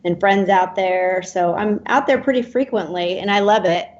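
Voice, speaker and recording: A woman's voice: medium pitch, moderate pace. Woman from Southern California, 30s, guest, easygoing, muffled call audio. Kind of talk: casual interview answers. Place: indoor room with carved furniture. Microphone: webcam on video call. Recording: dull lo-fi video call audio.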